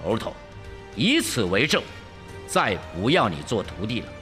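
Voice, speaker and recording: A man voicing a stern, self-righteous monk master who scolds gravely in a storybook tale. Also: lowish voice, sing-song, normal speed, in a large hall, over music. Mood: angry rejection.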